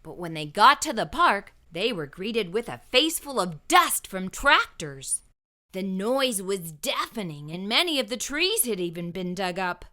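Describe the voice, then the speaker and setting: A woman reading a children's story aloud as an expressive narrator. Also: medium pitch, measured pace. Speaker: children's book reader.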